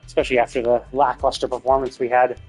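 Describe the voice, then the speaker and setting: A man's voice: mid-range voice, level tone, quick pace, darkish tone, breathy edge, faint music behind. A man streaming video games and chatting casually, thinking aloud in a rambling way. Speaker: video game livestreamer.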